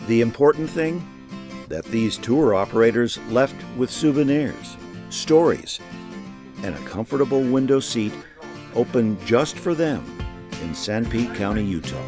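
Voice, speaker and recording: A man's voice: medium pitch, animated, a little slow. A man reading scripted narration for a heritage travel program. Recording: music under the voice. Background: background music.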